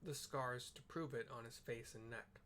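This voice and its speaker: A man's voice: mid-range voice, moderate pace, pushed and bright, a little hiss or hum. A man giving casual, rambling gameplay commentary as a streamer, explaining things informally as he thinks aloud. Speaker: video game streamer.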